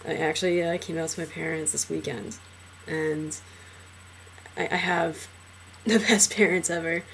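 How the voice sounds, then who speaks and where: A young transgender man in a casual personal vlog, talking hesitantly with many fillers and restarts, his trained low voice deepened further by a cold. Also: fairly even intonation, measured pace, gravelly, a bit bright, slight background noise, rough recording. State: voice lowered by illness.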